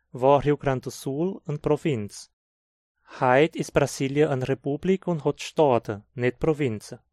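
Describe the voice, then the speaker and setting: Male course reader, Hunsrik-accented German, unhurried and clear, dry studio sound. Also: mid-range voice, no echo. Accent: Brazilian Hunsrik German. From southern Brazil. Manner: slow, clear teaching delivery. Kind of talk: scripted textbook dialogue.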